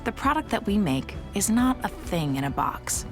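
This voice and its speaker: A woman's voice: mid-range voice, big pitch swings, normal speed. A woman narrating a scripted corporate promotional video in a polished, upbeat announcer style. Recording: studio voice-over with music bed. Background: music.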